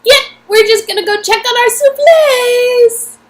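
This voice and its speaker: A woman's voice: very high voice, a little slow, slight background noise, voice pushed up. A woman voicing an anxious young woman in love, pleading in an emphatic, emotional way, as in a dramatic game reading. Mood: alarmed.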